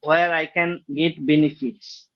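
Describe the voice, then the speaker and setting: A man with a Bengali accent, a native Bengali speaker practising English, talking haltingly with many pauses on a video call. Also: a little high, little pitch movement. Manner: hesitant, many fillers and pauses. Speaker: English learner. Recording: dull lo-fi call audio.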